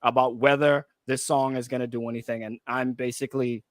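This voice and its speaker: A man's voice: mid-range voice, flat delivery, fairly quick, dry recording, studio quality. A Bahamian man hosting a casual radio discussion, emphatic and repeating himself for emphasis, often checking in with "right?". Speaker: radio host and music director. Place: radio studio.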